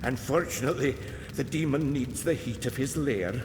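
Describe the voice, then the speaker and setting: A man's voice: medium pitch, moderate pace, background noise, natural voice. A man playing an eccentric, kindly old alchemist in a video game, lilting and theatrical. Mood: chatty, wary. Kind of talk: video game character acting. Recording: echoey reverberant sound with music behind.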